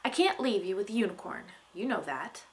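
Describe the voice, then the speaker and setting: A woman's voice: medium pitch, lively intonation, a little fast, lightly acted. A woman voicing a suspicious young male villager in a storybook, accusing and needling with veiled threats. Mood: warning. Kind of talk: storybook character reading.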